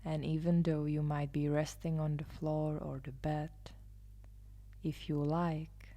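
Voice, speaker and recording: A woman leading a guided meditation as a yoga nidra guide, soothing and hushed, often trailing into a near-whisper. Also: sits low, level tone, slow. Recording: live instrumental music behind the voice. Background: soft live instrumental music.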